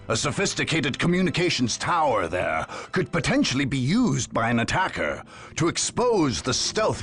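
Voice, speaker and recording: Dramatic, sweeping war chronicler. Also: male voice, medium pitch, gravelly, fairly bright tone, heavily acted. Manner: solemn, measured, theatrical. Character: grave male war chronicler narrating a sci-fi saga. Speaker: narrator. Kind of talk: dramatic scripted lore narration for a war game.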